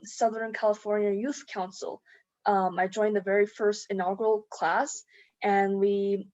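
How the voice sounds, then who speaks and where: A young woman student gives earnest advice in casual chat, pausing often on "um". Her pitch is moderate. The recording sounds muffled and lo-fi. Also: darkish tone. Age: young adult. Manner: earnest, explains with frequent "um". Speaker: student panelist.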